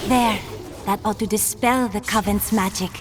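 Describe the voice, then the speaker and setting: An actress voicing a calm, wise young enchantress who speaks in a measured, formal way, in game audio with music behind. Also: female voice, mid-range voice, natural voice. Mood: composed. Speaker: companion enchantress.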